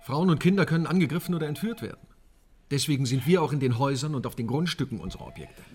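A man's voice: mid-range voice, animated, fast, close to an everyday voice. A man playing a correct, guarded security official in a German radio drama, speaking in a formal, matter-of-fact way.

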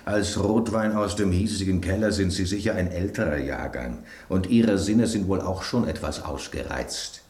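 An actor playing an inquisitive, brusque piece of bread in a German radio drama. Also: male voice, low-pitched, moderate pace, few pauses, a little mellow, roomy sound, natural voice. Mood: needling. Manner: inquisitive, brusque, rhetorical questioning. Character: bread on a restaurant table, gruff gossipy male detective type.

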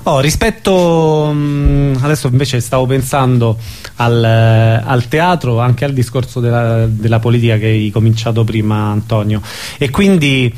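A male radio interviewer with a mid-pitched voice. He asks his questions casually and haltingly, often searching for the phrase. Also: steady tone, a little mellow.

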